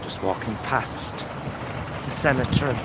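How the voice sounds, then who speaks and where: A man's voice: medium pitch, measured pace. A British man talking casually to a vlog audience while out walking, in a reflective, hesitant, self-deprecating way. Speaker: vlogger. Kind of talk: casual walk-and-talk vlog. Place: outdoors, walking along streets. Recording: windy outdoor recording, loud traffic noise. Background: wind on mic, vehicles, urban ambience.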